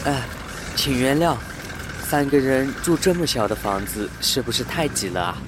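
A man's voice: mid-range voice, expressive, moderate pace, background noise, lightly acted. A man playing a courteous, hesitant and easily puzzled building manager who also narrates a sci-fi radio drama. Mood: polite. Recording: roomy sound with music underneath.

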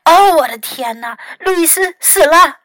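An excitable young storybook fox, voiced by a woman reading aloud. The voice is high and bright, with the dramatic, emphatic exclamations of a put-upon big brother. The sound is close and dry. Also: unhurried, dry recording, heavily acted. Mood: panicked, guilty. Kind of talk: bilingual children's storybook voicing.

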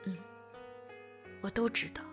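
A woman's voice: a little slow, a bit bright, soft background music, close to an everyday voice. A woman acting in a Chinese audio drama as a tender, wounded young woman confessing a past love. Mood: tender reassuring.

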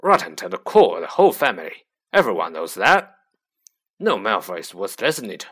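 A man voicing a huge, gruff, kindly giant of a man in a storybook reading, loud and forceful. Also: lowish voice, huge pitch swings, normal speed, pitched below the performer's own voice, exaggerated voice.

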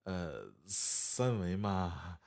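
Calm, low-pitched young man, played by an actor. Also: extremely slow, vocal fry, heavily acted. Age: young adult. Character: calm, courteous handsome 28-year-old male pastry chef. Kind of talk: radio drama acting.

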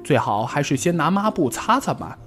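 A man voicing a hasty, clumsy male rabbit from a children's story, high and chirpy with a whiny edge. His voice sits well above a normal man's. Faint music runs behind him. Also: sing-song, normal speed, a bit bright.

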